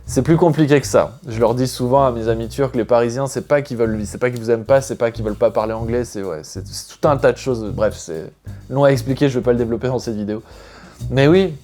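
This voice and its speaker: A man from France talks casually to his viewers in a chatty, unscripted way, thinking out loud as he goes. His voice sits at an everyday mid pitch. Soft music plays quietly behind him. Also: fast. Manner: chatty, thinking aloud, loosely rambling. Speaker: expat vlogger. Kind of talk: casual explanatory vlog.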